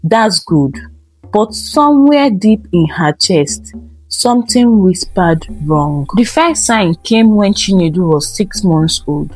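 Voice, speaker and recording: A woman tells a dramatic scripted tale at a slow, deliberate pace. Her voice is husky and sits at a middle pitch, with faint music behind it. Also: animated, a little mellow, rough recording. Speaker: storyteller. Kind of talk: dramatic scripted narration of a folktale.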